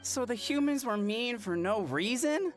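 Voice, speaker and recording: A woman voicing an excitable, naive little tanuki yokai in a video game, childlike and proud. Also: on the high side, a little slow, faint music behind. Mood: naive surprise. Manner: childlike, exclaiming.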